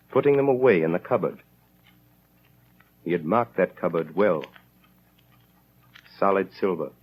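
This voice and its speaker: A man's voice: fairly low pitch, slightly rough. A man narrating a 1930s radio drama in a grave, solemn, declamatory style. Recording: dull 1930s radio recording.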